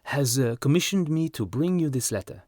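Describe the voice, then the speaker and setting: A courteous old French gentleman performed by a male reader with a slight French accent. He speaks with measured formality in a medium pitch, recorded very dry for an audiobook. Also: sing-song, no room sound. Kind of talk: audiobook character dialogue.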